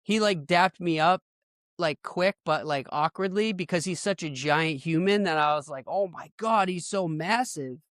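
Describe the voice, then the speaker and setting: A middle-aged American man hosts a podcast in a loose, slangy, conversational style. His voice is smooth and clean, pitched a bit high for a man. The sound is dry, without room echo. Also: moderate pace, a bit bright. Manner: informal, slangy. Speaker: podcast host. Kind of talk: casual podcast chat.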